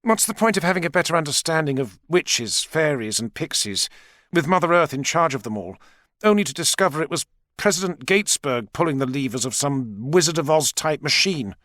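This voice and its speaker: A man's voice: fairly high pitch, lively intonation, fairly quick, fairly bright tone, no echo, clean studio sound, pitched above the performer's own voice. A man voicing a blunt, sceptical young woman with a sardonic manner in an audiobook. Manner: sardonic, incredulous. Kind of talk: audiobook character dialogue.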